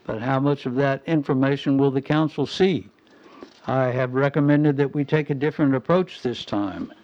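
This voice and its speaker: An actor playing a smug, scheming governor in a radio play, pointed and oratorical, heard through a radio filter. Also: male voice, mid-range voice, normal speed, a little mellow. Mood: condescending. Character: smug, scheming territorial governor, smooth politician. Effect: radio transmission filter. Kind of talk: radio drama acting. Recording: dull, band-limited radio voice.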